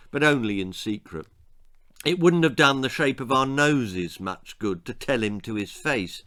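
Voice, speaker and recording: A man with a British accent reading his own children's story as scripted narration, dramatic and with pointed pauses. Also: mid-range voice, a bit bright.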